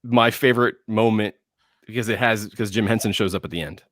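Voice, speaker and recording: A man on a casual panel chat about films over a video call, halting, repeating himself and getting emphatic. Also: on the low side, a bit bright, no echo, clean studio sound. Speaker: podcast panelist.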